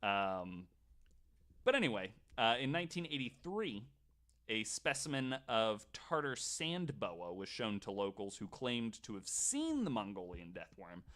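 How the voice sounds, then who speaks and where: A man hosting a podcast, telling stories casually in a halting, conversational way. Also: lowish voice, wildly swooping pitch, fairly bright tone. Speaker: podcast host. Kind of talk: casual storytelling and chat.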